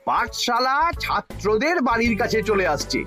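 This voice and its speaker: Wheedling court minister. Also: male voice, very high-pitched, very animated, normal speed, pushed and bright, soft background music, heavily acted. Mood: scheming. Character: scheming male royal minister, wheedling. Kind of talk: cartoon voice acting.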